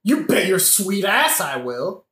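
A woman's voice: medium pitch, big pitch swings, slow pace, fairly bright tone. A woman playing a hot-headed, foul-mouthed young male avenger in a satirical audio play, brash and blustering. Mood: vengeful. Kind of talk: satirical modern-language audio play acting. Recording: roomy, echoing audio-play recording.

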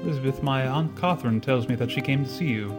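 An actor playing an ardent, tender and courtly gentleman suitor in a formal 1940s radio romance style. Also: medium pitch, fast talker, close to an everyday voice. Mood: courteous, hopeful. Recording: string music under the voice.

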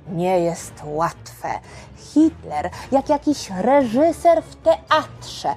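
A woman narrating a history story for children in speech, expressive and colloquial, addressing her listeners directly. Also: fairly high pitch. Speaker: storyteller and podcast host for children. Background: music.

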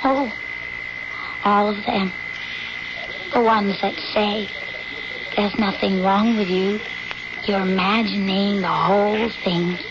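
An actress playing a pained, guarded young daughter who suffers from headaches, in an old radio drama, with a questioning manner. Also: female voice, mid-range voice, steady tone, takes it slowly, pauses often. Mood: questioning, defensive. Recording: dull, heavily noisy old radio recording.

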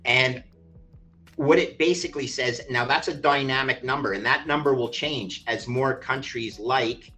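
A man from Canada speaks as an expert guest on a podcast. His voice sits at a middle pitch and he leans hard on key phrases with an evangelizing zeal. Faint music plays behind him. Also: bright, forceful tone. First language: English. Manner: emphatic, evangelizing, drawn-out stress on key phrases. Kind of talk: animated interview talk.

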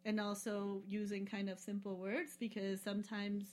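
A woman's voice: medium pitch, monotone, normal speed. A woman chatting casually as a podcast guest, loose and rambling, with many fillers and frequent laughs. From lives in Peru. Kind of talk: casual travel chat.

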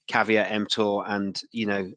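A man hosting a casual interview, halting and repeating words as he works his way through questions and remarks. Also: fairly low pitch, level tone, a bit bright, dry recording. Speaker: interviewer, host.

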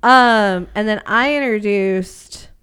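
Woman, smooth clear voice, mid pitch, casual podcast chat, hesitant loose phrasing. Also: slow pace, a bit bright. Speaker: podcast co-host.

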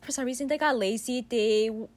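A woman's voice: a little high, brisk, a little mellow. A woman from Taiwan, a native Mandarin speaker, telling personal stories casually in an informal, explanatory way. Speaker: interview guest, nutritionist.

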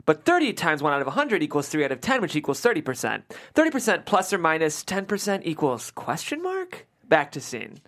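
A woman reading a comedy script's stage directions aloud at a table read, with expressive, emphatic delivery. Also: low-pitched, fairly quick.

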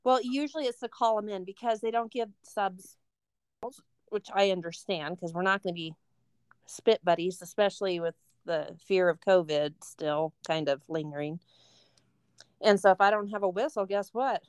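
A middle-aged woman co-hosting a casual chat podcast, an animated storyteller who acts out voices. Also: mid-range voice, moderate pace, smooth, no echo. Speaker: podcast co-host and substitute teacher.

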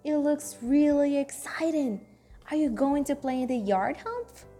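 Woman voicing an earnest, gentle little toy newcomer. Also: high-pitched, unhurried, faint music behind, pitched above the performer's own voice. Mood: excited. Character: Benji, lonely new toy, gentle, clever and reassuring. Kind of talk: children's storybook reading.

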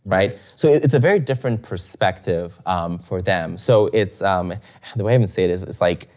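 A man's voice: low voice, brisk, darkish tone. A young adult man who grew up in Bulgaria, talking as an interview guest in a reflective way, with many fillers and restarts and frequent chuckles. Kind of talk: casual conversational interview.

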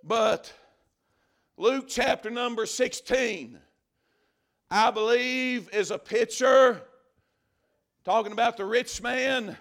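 A man preaching a fiery revival sermon to a congregation, shouting and drawing out his words for emphasis, with lots of repeated phrases. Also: very high-pitched.

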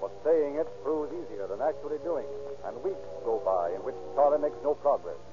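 A man's voice: medium pitch, expressive, brisk, over music. Male old-time radio narrator, thin sound. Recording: thin, phone-like vintage radio recording.

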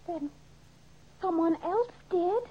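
A woman's voice: very high-pitched, slow. An actress playing an anxious young woman wrongly accused, in an old-time radio mystery. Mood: shaken, hopeful. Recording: muffled, noisy old radio recording.

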